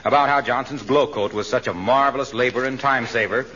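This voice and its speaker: An actor playing a hearty, single-minded salesman who plugs products with smooth enthusiasm in a radio comedy. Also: medium pitch, brisk, sharp tone, close to an everyday voice. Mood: enthusiastic, oblivious. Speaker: announcer. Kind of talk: 1940s radio comedy acting. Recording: muffled, noisy old radio broadcast.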